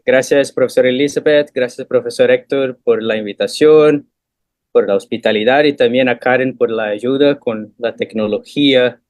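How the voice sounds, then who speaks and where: A man's voice: medium pitch, fairly even intonation, a little mellow, no room sound. A man whose first language is Portuguese, reading a prepared lecture in Spanish with a Portuguese accent over a video call. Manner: measured, questioning delivery in Spanish mixed with Portuguese. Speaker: guest lecturer.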